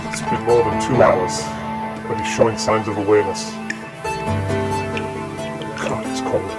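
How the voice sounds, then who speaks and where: An actor playing a weary trapped man pleading for rescue in an audio drama, halting and breathy. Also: fairly low pitch, steady tone, close to an everyday voice. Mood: cold, desperate. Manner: slow, halting. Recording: loud music over the voice.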